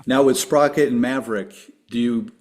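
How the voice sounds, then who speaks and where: A man's voice: mid-range voice, normal speed, a little mellow. A man hosting a podcast, asking his guest light, upbeat questions in a friendly way. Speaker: podcast host. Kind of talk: casual interview questions.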